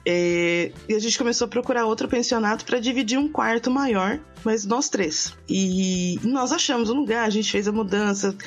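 A woman's voice: on the high side, moderate pace, hardly any pauses, faint music behind. A woman in her thirties hosting a podcast, telling a long story in casual chat with many restarts and asides. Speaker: podcast host.

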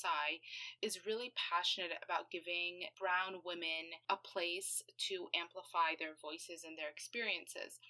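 A woman's voice: on the low side, sharp tone, poor audio. A young woman hosting a casual podcast interview, bubbly and enthusiastic. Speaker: podcast host.

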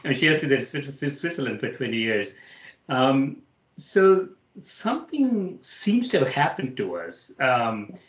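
A man's voice: mid-range voice, sing-song, a little slow. Echoey male interview host from India. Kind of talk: casual conversational interviewing.

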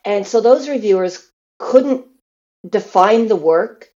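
A woman's voice: mid-range voice, expressive, slow, warm tone, dull audio. A woman from Canada telling stories casually as an interview guest, acting out what others might say. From Canada, grew up in Newfoundland and Alberta. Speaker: interview guest, theatre performer.

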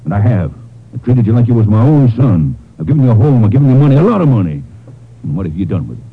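An actor in an old radio drama playing a gruff, blunt older Texas rancher stepfather. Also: on the low side, big pitch swings, fast talker, full character voice. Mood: angry, fed up. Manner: blunt, scolding, folksy. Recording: muffled narrow-band old radio recording.

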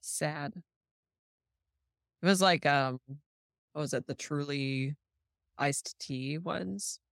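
A man's voice: on the high side, takes it slowly, creaky, a bit bright, no echo, clean studio sound. A man co-hosting a livestream in casual chat, with a lightly teasing, easygoing conversational way of talking. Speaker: livestream and podcast co-host.

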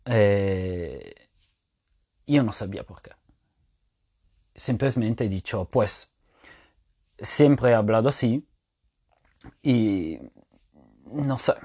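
A man's voice: on the low side, animated, extremely slow, long pauses, warm tone, husky. A man whose first language is French, giving a casual spoken language lesson, thinking aloud with many fillers and restarts as he explains patiently. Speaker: language teacher podcaster.

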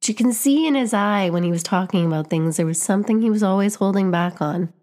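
A woman's voice: medium pitch, fast talker, a little mellow. A young woman from Canada talking earnestly and reflectively to camera, often restarting and repeating words as she searches for what to say. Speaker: vlogger and teacher. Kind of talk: reflective personal vlog monologue.

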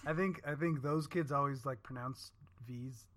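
A woman's voice: rumbling low, normal speed, slight background noise. A young woman co-hosting a podcast, joking crudely and swearing a lot while laughing. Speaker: podcast co-host. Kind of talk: casual chat.

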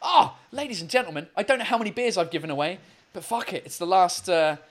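A man co-hosting a livestream quiz, chatting casually with viewers in a loud, laughing, swearing style full of fillers. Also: high voice, lively intonation, quick pace. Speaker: livestream co-host running a quiz.

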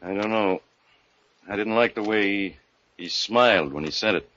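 A man's voice: on the low side, normal speed, fairly bright tone, dry recording, lightly acted. A man playing a blunt, wisecracking police inspector with slangy, hard-boiled delivery in 1940s radio mystery style. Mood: wry warning. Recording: muffled old-time radio recording.

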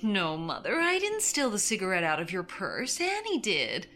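A woman's voice: mid-range voice, wildly swooping pitch, normal speed, sharp tone, soft background music, exaggerated voice. A woman voicing a whiny, insistent little girl pleading innocence to her mother in a story. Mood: pleading denial.

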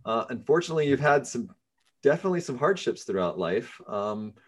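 A man hosts a casual interview podcast in an easygoing way, his voice sitting in a middle pitch. He leans on fillers and turns soft and quiet when the talk gets serious. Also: brisk. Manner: relaxed, many fillers, drops to soft tones on serious topics. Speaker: podcast host.

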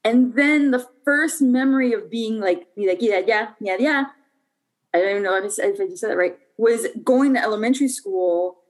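A woman's voice: fairly high pitch, brisk, low-quality recording. A young adult woman from Puerto Rico, a podcast guest telling her life story in a casual, chatty way with lots of fillers and restarts.

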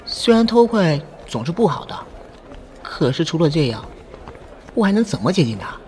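Man playing high-voiced lovestruck young student. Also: big pitch swings, normal speed, darkish tone, a little hiss or hum. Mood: sheepish, secretive. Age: young adult. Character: lovestruck shy male university student, secretly pining. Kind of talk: audio drama acting with inner monologue. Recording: voice over background music.

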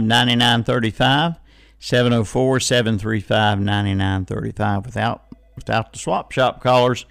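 A man's voice: mid-range voice, vocal fry, fairly bright tone. A man hosting a casual live radio call-in show, folksy and given to repeating phrases and numbers.

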